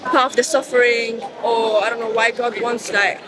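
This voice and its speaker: A woman's voice: fairly high pitch, little pitch movement, normal speed. A woman whose first languages are Italian and Moroccan Arabic, speaking English hesitantly outdoors and searching for words. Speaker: passerby being interviewed. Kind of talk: casual street conversation. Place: outdoors on a street. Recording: outdoor recording with street noise.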